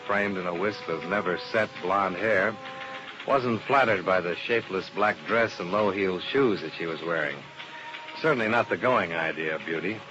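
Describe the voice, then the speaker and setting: A man's voice: lowish voice, natural voice. An American actor playing a cool, wry male insurance investigator in a 1950s radio drama, speaking in a terse, dry, matter-of-fact way. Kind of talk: 1950s radio detective drama acting and narration. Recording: dull, noisy old radio recording.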